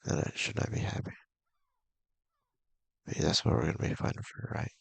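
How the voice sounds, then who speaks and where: A man with a gravelly, husky voice casually reading a visual novel aloud, halting and mumbling with offhand asides. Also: dry recording. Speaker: video game streamer.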